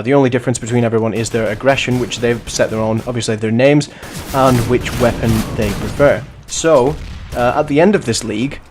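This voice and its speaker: Young male host, hoarse and sniffly with flu, casual rambling match commentary, faint gunfire under the voice. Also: mid-range voice, darkish tone, a little hiss or hum. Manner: rambling, self-correcting, chatty. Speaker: host and commentator of a bot league.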